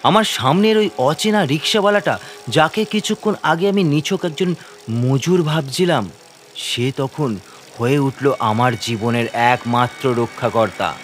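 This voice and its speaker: Suspenseful male horror narrator, medium pitch. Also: a little slow, slight background noise. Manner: suspenseful, theatrical. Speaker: narrator and lead character of a horror story. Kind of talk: dramatic first-person horror narration.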